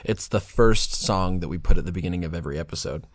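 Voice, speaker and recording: A man in his twenties chats casually as a podcast co-host, his voice sitting fairly low. He talks in loose, halting bursts full of fillers. The sound is dry and close. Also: dry recording. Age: 20s. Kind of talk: casual chat.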